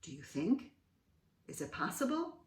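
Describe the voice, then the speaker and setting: A woman reading aloud to young children as host, expressive and dramatically emphatic, pausing to ask questions. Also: lowish voice, background noise. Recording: roomy echo, distant mic.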